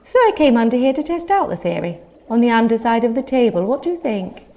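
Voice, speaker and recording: A woman's voice: fairly high pitch, very animated, quick pace, a little mellow, slight background noise. An actress playing an earnest, modest, eccentric medieval woman who muses on her ideas in a comic audio drama. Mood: eager, musing. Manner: earnest, musing.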